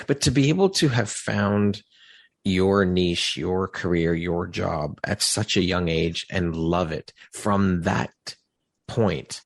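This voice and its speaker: Very deep, creaky-voiced man hosting a podcast. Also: no room sound, studio quality. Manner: affirming, emphatic reactions. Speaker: podcast host. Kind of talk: conversational interviewing.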